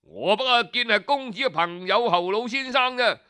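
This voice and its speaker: A man's voice: extremely high pitch, lively intonation, moderate pace, pushed and bright, smooth, exaggerated voice. A man with a Cantonese accent voicing haughty, indignant palace guards in a folk tale, grumbling and brash. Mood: scornful.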